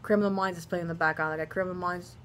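A woman with a Cajun accent talks to a camera held close in her living room, her voice naturally deep. She rambles through a casual video diary, stopping for ums and correcting herself as she goes. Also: fairly even intonation, racing, slight background noise. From Southern US. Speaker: vlogger, aspiring actress. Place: living room at home, indoors. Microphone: camera close at face level.

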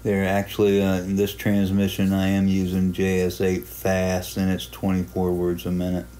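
A man giving a casual, unhurried tutorial narration, explaining a hobby software program step by step in plain terms. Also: low voice, monotone. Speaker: amateur radio hobbyist presenting a software walkthrough.

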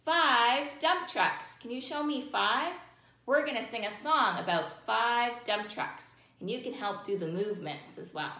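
A woman in her thirties hosting a children's storytime, reading aloud in simple, deliberate phrases and putting questions to young listeners. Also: on the high side, animated, bright, forceful tone. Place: small room indoors, near a plain wall. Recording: roomy indoor echo.

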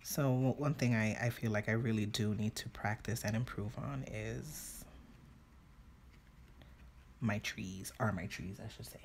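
Chatty new york lady, low voice, laughs a lot while painting on a phone live. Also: unhurried, long pauses, a little mellow, slight background noise. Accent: New York, Dominican Spanish-influenced. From New York City. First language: Spanish. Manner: chatty, laughs often, talks while working. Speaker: painting tutorial host. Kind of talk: live-stream painting chat. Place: indoors at a home painting desk.

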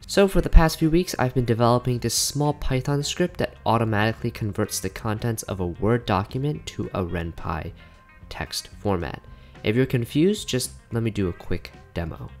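A man giving a casual, plain-spoken scripted tutorial narration as a software developer explaining a tool. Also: medium pitch, moderate pace. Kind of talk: scripted explanatory narration over screen recording. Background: background music with guitar.

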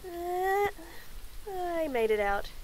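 A young woman voicing a shy, nervous tomboy friend in a romantic roleplay audio, stammering, giggling and speaking softly. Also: extremely high pitch, big pitch swings, very slow, a little hiss or hum. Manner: stammering, giggly, soft-spoken. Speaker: voice actor.

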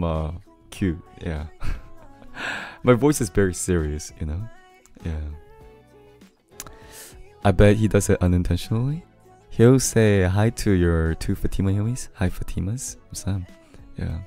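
A young man with a low voice chatting casually on a livestream, reading comments, laughing often and full of fillers. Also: darkish tone. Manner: laid-back, laughs often, many fillers. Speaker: streamer. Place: small home room with keyboard. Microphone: studio mic on arm near face.

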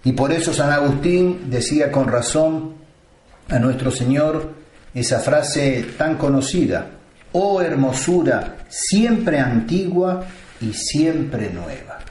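A priest delivering a slow, solemn sermon with deliberate pauses. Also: male voice, a little high, lively intonation, darkish tone, reverberant.

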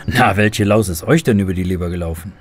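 An actor playing a good-humoured, teasing grown-up friend of children in a radio drama. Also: male voice, deep voice, fast talker, faint music behind, lightly acted.

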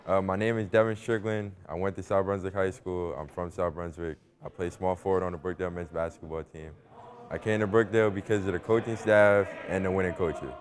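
A man's voice: deep voice, fairly even intonation, brisk. A young man, a college basketball player, introducing himself plainly in a short interview. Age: young adult. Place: empty basketball arena.

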